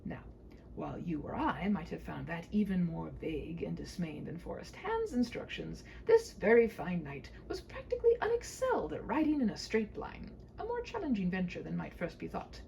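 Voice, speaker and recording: A woman's voice: lowish voice, sing-song, moderate pace, vocal fry, warm tone, slight background noise, low-quality recording. A woman reading a humorous story in a whimsical, mock-formal way, with wry asides.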